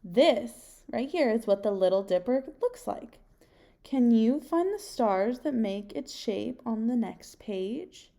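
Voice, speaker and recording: Slow, mellow young woman reading to children. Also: a little high, lively intonation, vocal fry. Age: young adult. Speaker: teacher reading a picture book aloud. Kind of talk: children's read-aloud with questions to listeners. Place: home kitchen, indoors.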